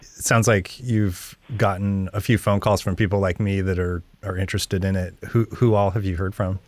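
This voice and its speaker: A man with a fairly low voice conducts a casual interview in a dry acoustic with little echo. He phrases his questions loosely and tentatively, like everyday conversation. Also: level tone, moderate pace. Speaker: interviewer, reporter.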